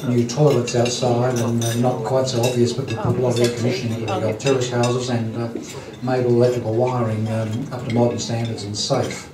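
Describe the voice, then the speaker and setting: A man hosting a lunch event, giving a halting formal introduction full of ums and throat clearing. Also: medium pitch, flat delivery, a crowd in the background. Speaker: event host introducing a speaker. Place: dining hall at a lunch event. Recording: roomy hall, distant mic, clattering dishes.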